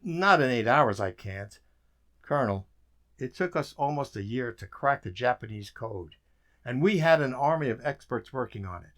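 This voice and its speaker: An actor playing a weary, dry-witted government codebreaker in an emphatic radio drama style. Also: male voice, mid-range voice, expressive, moderate pace, fairly bright tone, close to an everyday voice. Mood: weary, frustrated.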